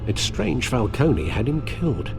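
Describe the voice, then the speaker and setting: An actor playing a loyal older butler in a video game, solemn and wry, with a measured, formal manner and gravelly voice. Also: male voice, mid-range voice, big pitch swings, music in the background. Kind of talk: cinematic video game acting.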